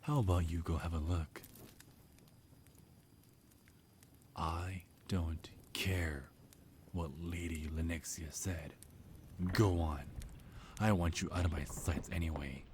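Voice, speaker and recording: Deep male voice, acted resentful vampire, menacing, contemptuous, ASMR roleplay, noticeable background noise. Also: fairly even intonation, unhurried, darkish tone. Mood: menacing, angry. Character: hostile, resentful male vampire of a mansion household.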